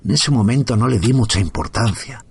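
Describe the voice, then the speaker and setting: A man from narrating a first-person horror story in a slow, hushed, suspenseful manner. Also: medium pitch, lively intonation, slightly rough.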